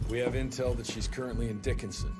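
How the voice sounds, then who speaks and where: A man's voice: medium pitch, steady tone, fast, lightly acted. A man playing a blunt vampire-hunting agent with an American accent in a video game, terse and dry. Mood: respectful, insistent. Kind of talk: video game cutscene acting. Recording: loud music under the voice.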